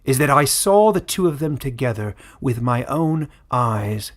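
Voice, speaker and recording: A man's voice: medium pitch, animated, a little slow. A man voicing a tall, self-assured foreign queen with a commanding, theatrical manner in a fantasy story.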